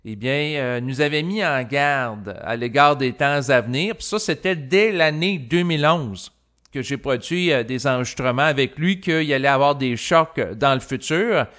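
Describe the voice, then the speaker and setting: A man from Quebec with a Québécois French accent, speaking an unscripted reflective monologue to listeners in a halting, roundabout way with many hesitations. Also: medium pitch, expressive, a bit bright. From Quebec, Canada. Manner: halting, roundabout, with frequent hesitations and long lead-ins. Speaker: host of spiritual self-help recordings.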